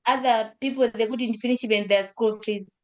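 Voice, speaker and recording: A young woman from Africa, sharing her story on a webinar call in halting English with many fillers and repeated phrases. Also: a little high, fairly even intonation, highs cut off. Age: young adult. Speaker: school graduate. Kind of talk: webinar testimony.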